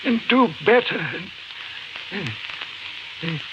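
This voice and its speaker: An actor playing a gentle, dying old professor in a 1950s radio drama, weak and halting but resolute. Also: male voice, high voice, lively intonation. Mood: failing, urgent. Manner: halting, solemn, repeats words. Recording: noisy, muffled 1950s radio recording.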